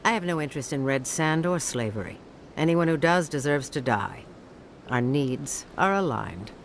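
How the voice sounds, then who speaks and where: A woman's voice: sits low, lively intonation, moderate pace. A woman playing a calculating underworld businesswoman in a video game, speaking formally, with composed persuasion. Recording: faint ambient noise behind.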